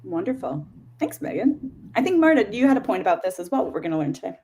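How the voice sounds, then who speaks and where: A woman's voice: medium pitch, sing-song, fast talker, dark tone, slight background noise. A woman hosting an online panel discussion in an informal, effusive and chatty manner. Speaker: event host and panel moderator.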